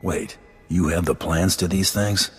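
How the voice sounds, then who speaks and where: An actor playing a tough, wary Imperial hero in a video game, gravelly, terse and cool. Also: male voice, low voice, steady tone, unhurried, faint music behind. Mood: cool, guarded. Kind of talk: video game cutscene acting.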